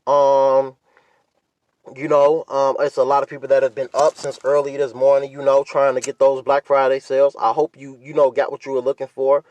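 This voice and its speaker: A man's voice: medium pitch, flat delivery, normal speed, a little mellow, muffled recording. An American man, youngish, chatting casually on a solo podcast in a laid-back, rambling way with plenty of swearing. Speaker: podcast host.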